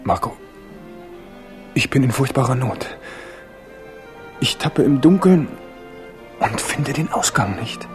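An actor playing an ardent, proud young Huguenot prince in a German radio drama, impassioned and theatrical. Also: male voice, medium pitch, animated, slow pace, long pauses, soft background music. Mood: anguished, pleading.

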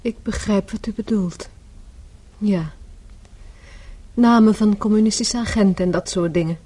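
A woman's voice: medium pitch, unhurried, mellow, slightly rough. An actress playing a hunted man's anxious daughter in a radio play, guarded and probing with her questions. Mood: tense, probing. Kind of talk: Dutch radio play acting.

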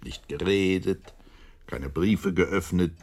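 An actor playing a sceptical, slightly exasperated Victorian doctor in a German radio play, husky and deliberate in his dry delivery. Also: low-pitched, a little mellow. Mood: concerned, sceptical. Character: Holmes's loyal doctor friend, Victorian, sceptical, a little exasperated.

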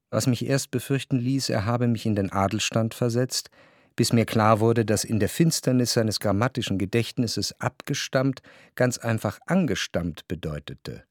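An actor playing a reflective, wryly observant narrator in a literary radio drama, speaking in a measured, articulate way. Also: male voice, mid-range voice, dry recording, studio quality, natural voice. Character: reflective, observant male narrator of society life. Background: faint music.

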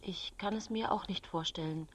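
A woman's voice: lowish voice, moderate pace, slight background noise, close to an everyday voice. A woman playing a grieving, suspicious mother who speaks in a subdued, accusing way in radio drama dialogue. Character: grieving, suspicious mother confronting her best friend.

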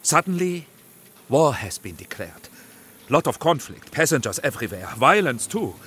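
An actor playing an earnest, flustered émigré film director, with a German accent and halting, broken English, in a radio play. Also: male voice, mid-range voice, sing-song, sharp tone, a little hiss or hum. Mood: excited, groping.

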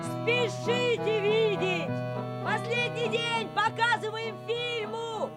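An actress playing a shrill street barker who chants melodramatic film advertisements in a Soviet radio drama. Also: female voice, steady tone, slow pace, over loud music. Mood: melodramatic, touting.

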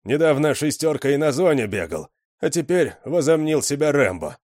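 A man's voice: fairly high pitch, big pitch swings, moderate pace, darkish tone, no room sound, clean studio sound, pitched above the performer's own voice. A man doing a commanding gang leader. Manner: commanding, gruff. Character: tough, commanding middle-aged male gang leader.

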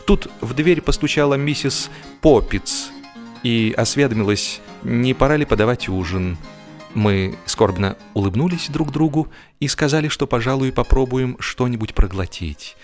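A man narrating a humorous literary audiobook with expressive, theatrical phrasing and mock seriousness. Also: medium pitch, normal speed, faint music behind.

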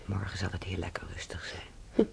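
A woman's voice: very deep voice, flat delivery, normal speed, creaky, in a whisper, exaggerated voice. An actress playing a hospital nurse in a radio play, firm yet soothing with her patient. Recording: noisy old radio play recording.

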